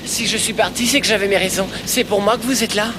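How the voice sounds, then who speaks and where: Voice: medium pitch, fast, background noise, natural voice. A cartoon dub actor playing a confident, slightly guarded young runaway circus boy, self-assured and matter-of-fact. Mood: insistent. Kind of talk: French cartoon dubbing. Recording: loud music behind the voice.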